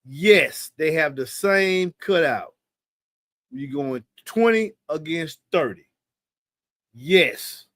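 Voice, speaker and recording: A man's voice: a little high, animated, takes it slowly, long pauses, a bit bright, dry recording, clean studio sound. A man in his fifties hosting a live Q&A in a loose, slangy, confident way. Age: 50s. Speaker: live stream host answering questions. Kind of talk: casual live Q&A chat.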